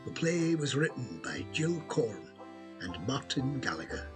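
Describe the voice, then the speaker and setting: Slow-paced male announcer over music. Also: medium pitch, sing-song. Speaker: credits announcer. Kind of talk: scripted closing credits announcement. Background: instrumental music.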